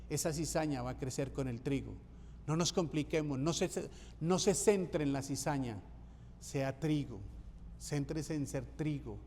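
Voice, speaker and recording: A man's voice: medium pitch, warm tone, in a large hall, slight background noise. A man from Colombia, preaching a sermon as pastor, emphatic and repeating key phrases for rhythm. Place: church sanctuary.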